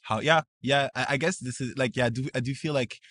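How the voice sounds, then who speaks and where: A man with a French accent co-hosts a relaxed podcast conversation. His voice has a bright edge, and he restarts his sentences a lot. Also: mid-range voice. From France. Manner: short agreeing interjections, halting restarts. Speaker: podcast co-host. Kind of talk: casual chat.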